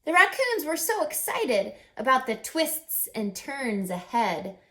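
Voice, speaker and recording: A woman reading a picture book aloud like a teacher, deliberate and instructive, with dramatic pauses and questions for young listeners. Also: sits high, very animated, fairly bright tone.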